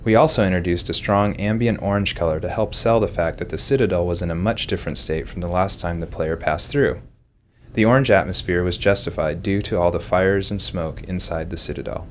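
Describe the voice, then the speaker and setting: A man's voice: sits low, fairly quick. A man giving scripted commentary as a game developer. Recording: clearly noisy background.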